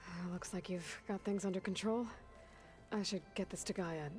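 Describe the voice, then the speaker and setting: An actress playing a determined, independent young heroine in a video game, speaking directly and resolutely. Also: female voice, lowish voice, normal speed, darkish tone, faint music behind, natural voice. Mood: friendly. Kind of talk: video game cutscene acting.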